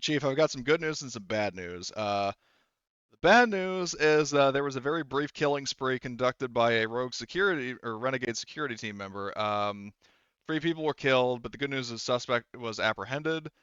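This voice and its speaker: A man narrating a tabletop game as game master in a casual, halting, sometimes profane way. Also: medium pitch, a bit bright, clean tone, no room sound, muffled recording.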